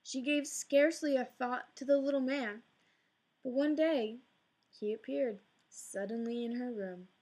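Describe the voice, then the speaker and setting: A woman narrating a fairy tale in an expressive, gently dramatic read-aloud style. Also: high-pitched, a little slow. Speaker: storyteller.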